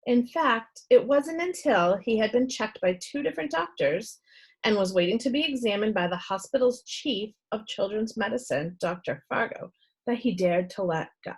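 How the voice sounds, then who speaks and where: A woman reading a children's book aloud as expressive storytelling narration. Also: on the high side, normal speed, breathy edge, poor audio.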